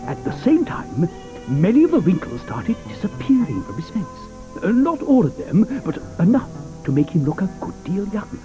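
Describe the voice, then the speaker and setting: An actor playing an eccentric, theatrical chocolate inventor who hesitates with many "uh"s and tells stories full of wonder. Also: male voice, high voice, wildly swooping pitch, moderate pace, low-quality recording. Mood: storytelling. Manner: hesitant, with frequent "uh"s, then storytelling with wonder. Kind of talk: 1980s audio drama acting. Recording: studio audio drama with soft music underneath.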